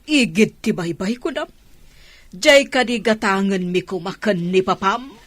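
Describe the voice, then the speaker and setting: Radio drama mother played by a woman, middle-aged, mid-range pitch, proud and reproachful with her son. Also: measured pace, dry recording, lightly acted. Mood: indignant. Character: haughty, scolding mother of a grown son. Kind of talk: Ilocano radio drama acting.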